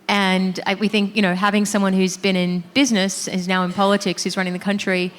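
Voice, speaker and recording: A woman's voice: mid-range voice, little pitch movement, a little fast. Chatty woman moderating a panel. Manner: chatty, filler-heavy. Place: conference hall. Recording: reverberant hall with faint noise.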